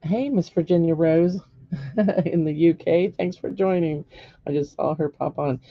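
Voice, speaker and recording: A middle-aged woman with a hoarse voice, giving a live painting lesson step by step and checking in with viewers. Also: deep voice, a little slow, no echo, highs cut off. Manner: patient step-by-step instruction with frequent "okay?" checks. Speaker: painting teacher. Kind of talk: live-streamed painting demonstration.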